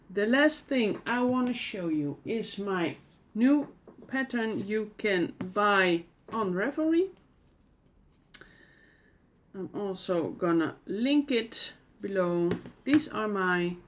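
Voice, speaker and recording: Slow, hesitant Dutch woman podcaster. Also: mid-range voice, a little hiss or hum. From Netherlands. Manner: hesitant, many fillers and restarts. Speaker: knitting podcast host. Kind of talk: casual show-and-tell chat.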